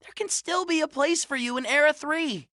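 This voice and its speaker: A woman voicing an earnest, kind young hero in an animated game. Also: sits high, normal speed, no echo, studio quality. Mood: earnest, pleading.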